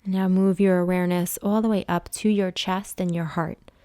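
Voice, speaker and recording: A woman leading a guided body scan meditation as a teacher, speaking in a soothing, hushed way with long pauses. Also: lowish voice, fairly even intonation, smooth, no echo.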